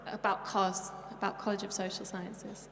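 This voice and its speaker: A woman's voice: mid-range voice, level tone, moderate pace, a bit bright. A woman hosting a panel, chatty and upbeat as she reads questions. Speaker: event host and moderator. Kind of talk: casual moderating. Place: large hall on stage. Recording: echoey hall, faint noise.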